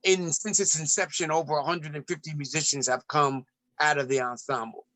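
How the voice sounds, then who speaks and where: A man from the United States, raised in Ethiopia, talking as a poet and musician guest in a reflective interview, measured and rhythmic with restarts. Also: a little high, fairly even intonation, sharp tone.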